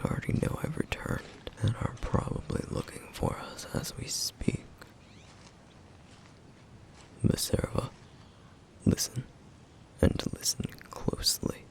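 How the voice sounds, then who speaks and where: A man playing a brooding, protective flame demon in an ASMR roleplay, speaking in a grave, breathy, gravelly near-whisper with deliberate pauses. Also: close to an everyday voice. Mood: stern, urgent. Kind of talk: ASMR roleplay, intimate scripted monologue.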